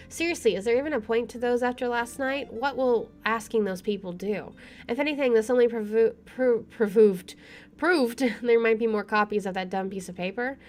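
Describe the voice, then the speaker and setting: A woman streamer reading a visual novel's narration aloud in an expressive, storytelling style. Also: a little high. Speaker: let's play streamer. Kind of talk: expressive read-aloud narration of game text. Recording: faint background noise.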